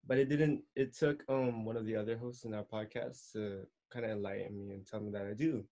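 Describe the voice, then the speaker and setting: A man's voice: fairly low pitch, fairly even intonation, fairly quick, mellow. A young man co-hosting a podcast, talking casually and a little hesitantly about himself. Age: young adult. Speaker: podcast co-host. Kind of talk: casual personal chat.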